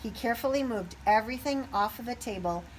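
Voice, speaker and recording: A woman reading a children's picture book aloud expressively, pausing to put questions to young listeners. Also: a little high, a little hiss or hum, low-quality recording.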